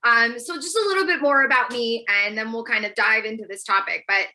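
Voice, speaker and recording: An American woman in her thirties speaks as a guest educator in an informal, personal style. Her voice is bright and fairly high, and she moves very quickly, leaning into emphatic asides. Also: poor audio. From United States. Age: 30s.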